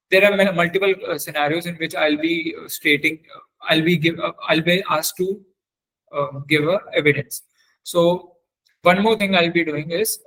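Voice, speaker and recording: Male webinar trainer, somewhat high voice, halting and repetitive delivery, informal technical teaching. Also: pushed and bright, rough recording. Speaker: webinar trainer on forensic audio.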